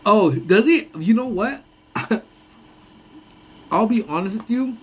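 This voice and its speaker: A man's voice: sits high, animated, slow pace, breathy edge. A young man hosting a casual lunch-break live stream, rambling and laughing often, with a voice that sounds congested. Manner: rambling, laughs often, trails off mid-thought.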